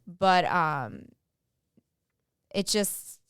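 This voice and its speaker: A young woman co-hosting a podcast, talking earnestly and haltingly with many fillers. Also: lowish voice, animated, a bit bright, smooth, dry recording, studio quality. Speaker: podcast co-host. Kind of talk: casual chat.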